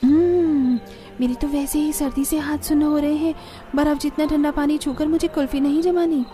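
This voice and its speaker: A woman as a high, plaintive young sister-in-law. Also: steady tone, normal speed, warm tone, faint music behind, natural voice. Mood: grumbling. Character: shivering, grumbling young sister-in-law in a poor household.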